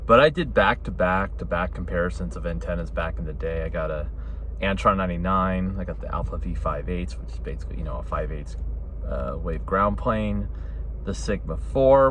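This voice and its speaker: Low-voiced man rambling over background hum. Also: a bit bright. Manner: rambling, self-correcting, often adds "right?" and "you know". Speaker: hobbyist radio vlogger. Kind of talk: casual opinion talk, explaining.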